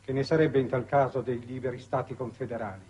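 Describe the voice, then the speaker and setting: A man playing a stern, coldly dutiful military colonel with a husky voice, formal and commanding, in 1960s Italian television drama. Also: mid-range voice, fairly even intonation, fast talker, a little mellow, highs cut off, slight background noise, natural voice. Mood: cold, pressing.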